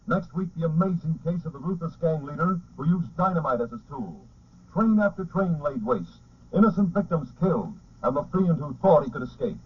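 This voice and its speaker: A man's voice: on the high side, slightly rough. A man announcing a crime show in a dramatic, emphatic 1940s radio style. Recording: muffled old 1940s radio broadcast.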